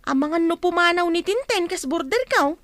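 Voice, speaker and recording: A woman's voice: extremely high pitch, expressive, fairly quick, a bit bright. A woman playing a nosy, chatty village neighbour in an Ilocano comedy radio drama, exclaiming and probing. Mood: gossipy.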